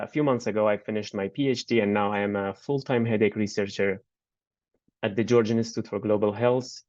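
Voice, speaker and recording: A man, a Kurdish speaker, giving an earnest online research presentation and often pausing with "uh". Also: lowish voice, a little mellow, no echo. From Iran. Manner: earnest, pauses with frequent "uh". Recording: dull, dry lo-fi webinar audio.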